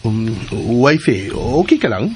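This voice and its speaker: A man's voice: medium pitch, sing-song, measured pace. A man playing a caring, affectionate and attentive husband in a Filipino radio soap. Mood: concerned. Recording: faintly noisy radio drama recording.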